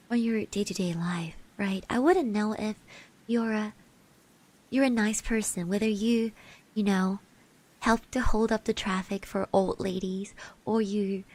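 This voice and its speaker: Slow, soft-spoken woman in ASMR roleplay. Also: medium pitch, low-quality recording. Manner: soft-spoken, often dropping into breathy whispers; hesitant, gently reassuring, speaks of herself in third person. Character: sweet, shy, caring girl classmate. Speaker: ASMR roleplay performer, streamer. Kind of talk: ASMR roleplay as a supportive classmate. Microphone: close microphone.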